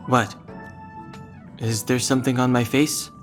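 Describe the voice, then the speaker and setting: A man's voice: medium pitch, close to an everyday voice. Man playing a composed, serious young guard over music. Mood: deadpan. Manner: composed, measured, deadpan. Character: calm, serious, dry-witted young male guard. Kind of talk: anime-style video game voice acting. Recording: game music under the voice. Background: background music.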